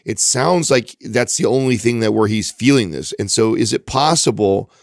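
A man co-hosting a fitness podcast who talks in a quick, conversational way. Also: medium pitch, expressive, creaky, no room sound, studio quality. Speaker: podcast co-host. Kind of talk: casual podcast coaching talk.

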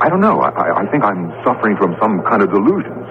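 An actor playing an absent-minded, earnest professor and husband in a 1970s radio drama, stammering and excitable. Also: male voice, fairly low pitch, fast talker. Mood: rattled. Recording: noisy, phone-like old radio broadcast.

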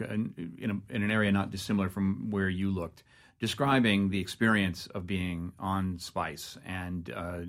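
A man hosting a radio news interview, moving between measured narration and questions to a guest. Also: low-pitched.

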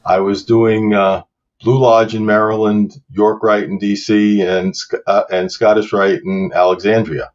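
A man who teaches Hebrew, chatting as a podcast guest and telling stories in an unhurried, explanatory way. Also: on the low side, flat delivery, dull audio. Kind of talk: casual podcast conversation.

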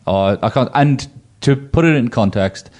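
A man's voice: medium pitch, expressive, a little slow, darkish tone. A man in a casual football chat, blunt and opinionated, often restarting his sentences as he rambles.

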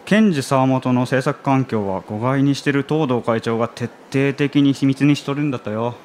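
An actor playing a gossipy, knowing observer of shady dealings in a radio drama, casual in manner. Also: male voice, mid-range voice, normal speed, darkish tone, slight background noise, natural voice. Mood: ominous gossip. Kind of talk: Japanese radio drama acting.

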